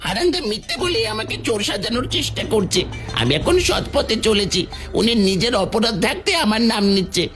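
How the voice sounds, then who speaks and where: Casting: male actor as whiny, shifty ex-thief, very animated pitch, cartoon folk tale. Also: brisk, over music. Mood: defensive. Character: lying reformed village thief, defensive and whining. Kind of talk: Bengali fairy tale cartoon dialogue.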